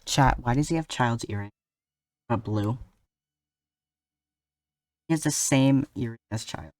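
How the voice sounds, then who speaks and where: An excitable game streamer who swears freely and keeps talking to the chat, at a middle pitch. The voice sits a little back from the mic. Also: a little slow, no room sound, studio quality, distant mic. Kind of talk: live game reaction.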